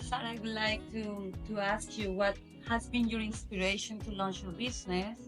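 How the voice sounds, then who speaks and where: A woman's voice: mid-range voice, fairly even intonation, moderate pace, over music. Friendly middle-aged woman hosting a chat. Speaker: host. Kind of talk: hosting a casual panel chat.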